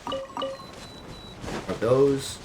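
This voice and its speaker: A man streaming a video game, commenting casually and thinking aloud in a low-key way. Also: huge pitch swings, drawn-out words, noisy background. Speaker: live streamer.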